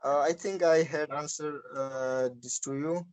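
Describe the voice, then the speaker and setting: A man's voice: on the high side, steady tone, unhurried, darkish tone. A young man from Pakistan who speaks Urdu as his first language, talking in English with a Pakistani accent and giving hesitant answers full of fillers on a video call. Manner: hesitant, many fillers and restarts. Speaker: interviewee. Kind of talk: casual explanatory answers. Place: small indoor room.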